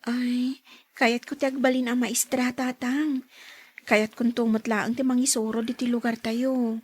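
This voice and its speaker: A woman's voice: a little high, little pitch movement, a little slow, husky. A woman playing a dutiful, affectionate young schoolgirl daughter in a radio drama. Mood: earnest, affectionate. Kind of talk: Ilocano radio drama acting.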